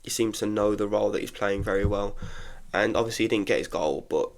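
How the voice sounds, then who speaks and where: Congested young man with a gravelly voice. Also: fairly low pitch, brisk. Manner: chatty, frank opinions with frequent restarts. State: congested from illness. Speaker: football podcaster. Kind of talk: casual solo football review.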